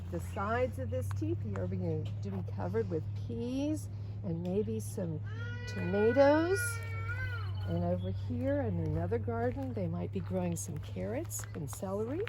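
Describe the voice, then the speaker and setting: An older woman speaking to children as a read-aloud storyteller and informal guide, explaining things to them directly. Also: a little high. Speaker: volunteer story reader and garden guide. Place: outdoors in a botanic garden. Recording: outdoor recording with clear background noise. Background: outdoor ambience, noise.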